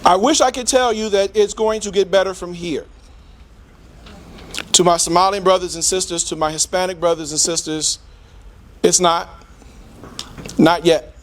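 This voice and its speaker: A middle-aged man giving an impassioned, preacher-like public speech in a deliberate, rhetorical manner with emphatic repetition. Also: sits high, steady tone, a little hiss or hum. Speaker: community speaker.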